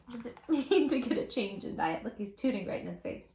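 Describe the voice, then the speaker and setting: A woman hosting a read-aloud storytime for young children, speaking in an animated, emphatic way straight to her little listeners. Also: fairly high pitch, brisk, slight background noise. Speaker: children's storytime host and tutor.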